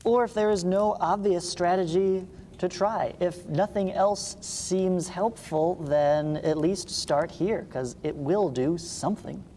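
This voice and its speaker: Male teacher, mid-to-high pitched mellow voice, read-along lesson with casual asides, reverberant recording with faint noise. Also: slow. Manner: explanatory, reading aloud with informal asides. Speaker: math teacher. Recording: reverberant room with background hiss.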